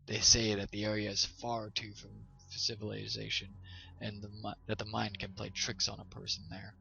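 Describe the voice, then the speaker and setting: A man's voice: on the low side, flat delivery, moderate pace, vocal fry, bright, forceful tone. A man hosting a storytime show, reading and presenting to listeners as the narrator. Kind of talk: scripted ghost story narration. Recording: slightly dull sound, music behind. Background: background music.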